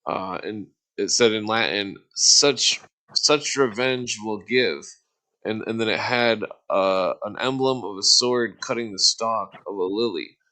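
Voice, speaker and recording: A man giving a casual, rambling explanation as host of an online discussion, halting and searching for words as he loses his thread. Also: fairly low pitch, sharp tone, poor audio. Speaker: host explaining esoteric symbols.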